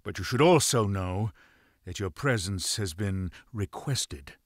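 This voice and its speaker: A shrewd pirate boss giving orders in a game, low voice, measured and menacing, super dry sound. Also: male voice, lively intonation, dry recording. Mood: commanding. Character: commanding, shrewd male pirate lord. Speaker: video game character giving mission briefings. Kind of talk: dramatic game cutscene acting.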